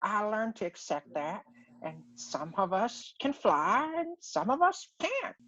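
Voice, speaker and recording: A woman's voice: medium pitch, big pitch swings, a little slow, a bit bright. A woman playing a timid, kind, earnest bird with a stammering manner in a comedy table read. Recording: dry, dull lo-fi audio.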